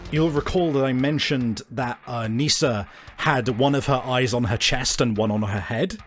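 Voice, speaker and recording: A man hosting and game mastering, improvising vivid descriptive narration for a tabletop game. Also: medium pitch. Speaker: game master and podcast host. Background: background music.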